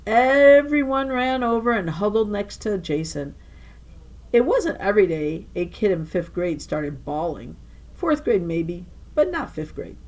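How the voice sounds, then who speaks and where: A woman reading a children's novel aloud like a teacher to her class, with animated storytelling and emphatic phrasing. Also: medium pitch. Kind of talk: expressive children's novel narration.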